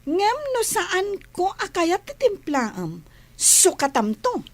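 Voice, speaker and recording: A woman's voice: very high voice, huge pitch swings, slow pace, heavily acted. A woman acting a spoiled young rich girl in a Filipino radio drama, haughty and demanding. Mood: haughty, bossy.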